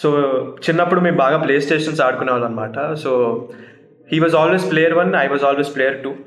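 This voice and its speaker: A man's voice: on the high side, very fast, fairly bright tone, reverberant. A young man from South India chatting as a podcast guest, talking freely with laughs and slipping into Telugu. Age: young adult. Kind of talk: casual chat.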